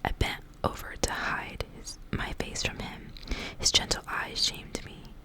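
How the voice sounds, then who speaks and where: Whispering woman reading a story, ASMR style. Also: measured pace. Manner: hushed, whispering throughout. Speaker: ASMR reader.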